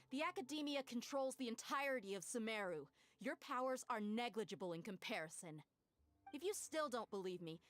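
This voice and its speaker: A bold, fiery female mercenary, voiced by a woman in a video game. Her voice sits a little high. She talks bluntly and challenges others. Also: natural voice.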